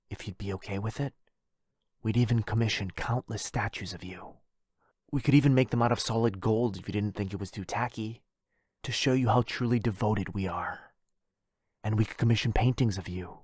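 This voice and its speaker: A man's voice: on the low side, a little mellow, husky, dry recording, clean studio sound. A man playing a lovestruck, possessive, centuries-old vampire brother in a boyfriend roleplay, tender and pleading.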